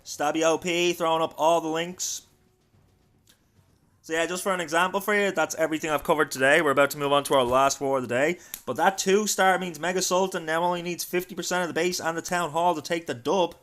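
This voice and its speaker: A man's voice: on the high side, fairly even intonation, a little fast, fairly bright tone. An Irish man hosting a livestream, giving chatty live game commentary and talking directly to his viewers. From Ireland. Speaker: livestream host and esports caster.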